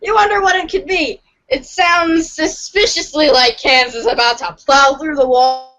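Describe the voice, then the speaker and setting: A woman giving an animated dramatic reading of a comic story for a podcast. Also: high voice, normal speed, rough recording. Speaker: podcast reader.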